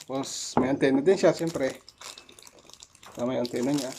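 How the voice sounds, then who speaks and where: A man's voice: mid-range voice, fairly even intonation, moderate pace. Filipino man, casual unboxing, noisy recording. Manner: unscripted, talks in short fragments while handling items. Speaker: product unboxer.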